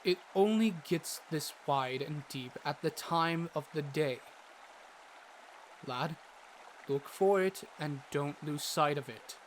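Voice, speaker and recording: A man playing a learned, brisk doctor and forest guide in a fantasy audio drama, speaking in a formal, instructive way. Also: on the high side, slight background noise. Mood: patient.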